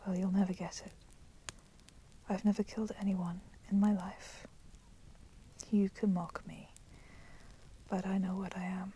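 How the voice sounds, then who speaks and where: Woman as a slow, whispering female vampire. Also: medium pitch, little pitch movement, lightly acted. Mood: bitter, proud. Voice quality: soft, breathy whispering. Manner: slow, deliberate, formal, menacing phrasing. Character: proud, resentful female vampire captor, coolly teasing. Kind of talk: ASMR roleplay, whispered. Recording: constant crackling fire noise behind voice. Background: crackling fire.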